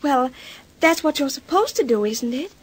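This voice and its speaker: Casting: impulsive, gushing society daughter, very high voice, put-on American accent, vintage radio soap. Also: female voice, expressive, moderate pace. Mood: polite. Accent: American, put on by an Australian actor. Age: young adult. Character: eager, impulsive young society daughter in romantic drama. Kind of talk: old radio soap opera acting.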